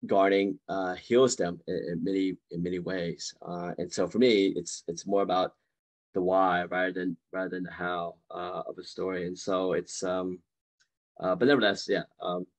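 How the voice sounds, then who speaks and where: A man's voice: deep voice, level tone, normal speed. A man in his forties, born in Vietnam, answering audience questions on a video call from a room at home, hesitant with many fillers and restarts. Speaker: guest speaker. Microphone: video call webcam.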